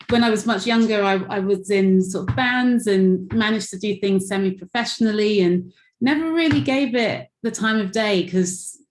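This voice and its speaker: A woman's voice: on the high side, reverberant. A woman musician on a call, chatting about her music with many fillers and little laughs. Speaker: musician guest. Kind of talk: casual chat on a call. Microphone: video call.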